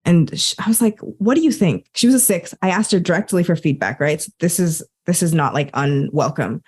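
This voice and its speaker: A woman's voice: fairly low pitch, a little fast, darkish tone, husky. A woman guest on a podcast, an Enneagram coach, chatting casually and acting out her own quoted thoughts. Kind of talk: casual conversational storytelling.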